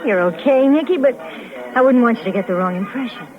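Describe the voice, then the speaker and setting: A woman's voice: a little high, fast, close to an everyday voice. An actress playing a guarded, coquettish young American woman on a date in a 1950s radio crime drama. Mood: guarded, teasing. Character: coy, wary, gold-digging young woman on a date. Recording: muffled old radio recording with music.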